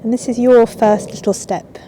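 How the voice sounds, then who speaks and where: A woman yoga teacher guiding a relaxation in a soothing, unhurried way with long pauses. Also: on the high side, fairly even intonation, warm tone, a little hiss or hum, low-quality recording. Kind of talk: guided yoga nidra relaxation. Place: outdoors in a garden. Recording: outdoor ambience with birdsong. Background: birds and garden ambience.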